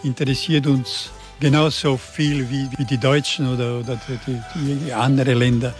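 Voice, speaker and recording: Halting elderly man with slight accent. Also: mid-range voice, level tone, faint music behind. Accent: slight Burgenland Croatian. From Burgenland, Austria. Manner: halting, repeats and restarts words. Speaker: interviewee. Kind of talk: conversational interview.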